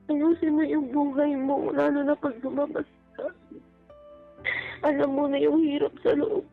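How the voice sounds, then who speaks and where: A high-pitched, creaky woman caller opens up about personal matters in an earnest, halting way. She pleads gently and sounds emotional, coming through a thin phone line with music playing underneath. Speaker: caller seeking relationship advice. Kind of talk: personal, confessional phone-in talk. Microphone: phone call.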